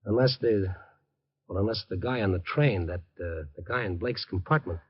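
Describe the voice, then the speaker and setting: An actor playing a streetwise, protective newspaper reporter in a 1950s radio drama, talking in a colloquial, urgent way. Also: male voice, on the low side, normal speed, slightly rough. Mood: thinking aloud. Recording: dull old radio recording.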